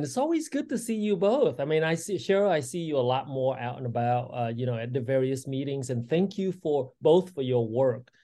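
An earnest man in his sixties, originally from Vietnam, talks as a podcast guest. He speaks with a mellow, dark tone, and his sentences are full of fillers and restarts as he works his way through his points. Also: medium pitch. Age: 60s. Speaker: guest, state legislator. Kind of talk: casual interview talk.

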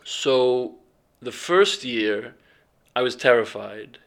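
A man with a middle-pitched voice talks in a relaxed interview. He is reflective and halting, often breaking off and restarting as he searches for words. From England, raised partly in Bahrain. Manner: hesitant, reflective, many restarts and ums. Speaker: filmmaker and fellowship alumnus.

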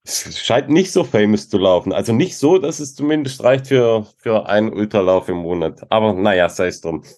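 Guy hosting a podcast, lowish voice, jokey and slangy, chatty questions. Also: expressive, normal speed, darkish tone. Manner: joking, informal, slangy with English words. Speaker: podcast host, interviewer. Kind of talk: casual chat interview.

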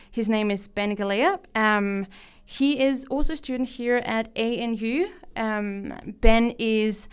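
A woman's voice: on the high side, smooth. A young woman from Denmark with a Danish accent, hosting a podcast in a chatty, enthusiastic way with frequent fillers. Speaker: podcast host. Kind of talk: casual podcast talk.

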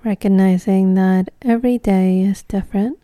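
A woman leading a guided meditation in a gentle, soothing, unhurried way, leaving long pauses between short phrases. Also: medium pitch, steady tone, no room sound, clean studio sound.

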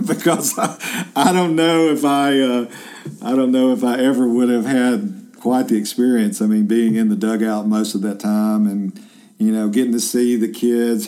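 A man's voice: fairly low pitch, warm tone. A man in his fifties, a journalist hosting a casual interview, chatty and rambling with lots of fillers and restarts. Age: 50s.

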